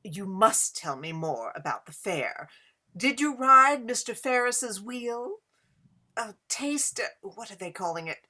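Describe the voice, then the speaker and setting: A woman's voice: mid-range voice, big pitch swings, a little slow, pushed and bright, full character voice. A woman playing a flirtatious Gilded Age society hostess in a period play reading, speaking in an affected, coy and theatrical way. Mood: flirtatious, teasing. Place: indoors, remote online reading.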